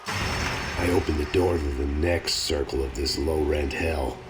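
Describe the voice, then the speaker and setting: An actor playing a weary, bitter, hard-drinking American in a hardboiled noir game voice-over, terse and sardonic. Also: male voice, a bass voice, fairly even intonation, measured pace, loud music behind the voice. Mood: brooding. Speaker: narrating protagonist.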